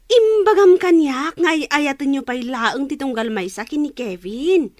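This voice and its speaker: A woman's voice: high-pitched, expressive, measured pace, darkish tone. A woman playing a prying, scheming friend who eggs others on in an audio drama. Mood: prying, urging. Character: prying, scheming female friend egging on an affair. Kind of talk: Ilocano audio drama dialogue.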